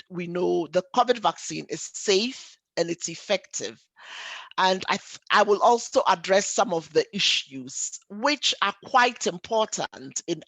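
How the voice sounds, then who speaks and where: A woman's voice: mid-range voice, a bit bright, dry recording, rough recording. A woman doctor presenting a health webinar, explaining things for lay listeners in an emphatic, didactic way, repeating key points and asking rhetorical questions. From Ghana or the Caribbean.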